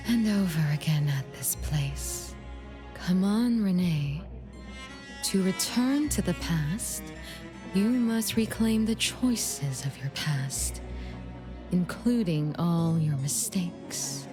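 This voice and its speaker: An actress playing an enigmatic shadow of an older sister in a video game, measured, knowing and gently condescending. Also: female voice, medium pitch, expressive, over music. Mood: patient, enigmatic.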